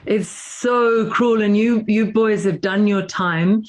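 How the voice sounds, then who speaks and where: A woman's voice: mid-range voice, takes it slowly, rough recording. A woman from New Zealand interviewing guests over a video call, encouraging and affirming.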